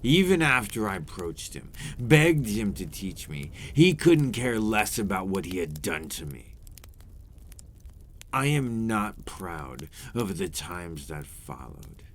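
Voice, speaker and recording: Seductive, menacing male vampire played by a man, very low register, unhurried, remorseful ASMR monologue with formal, theatrical delivery. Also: big pitch swings, exaggerated voice. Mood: bitter, grave. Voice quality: deep, breathy at times. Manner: slow, deliberate, theatrical, formal wording. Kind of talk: ASMR roleplay, dramatic monologue.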